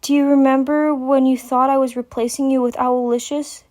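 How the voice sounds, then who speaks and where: Woman as young unicorn mare in a dramatic reading: raised pitch, motherly, soothing, earnest, firm when needed. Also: little pitch movement, moderate pace, darkish tone, close to an everyday voice. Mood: reassuring.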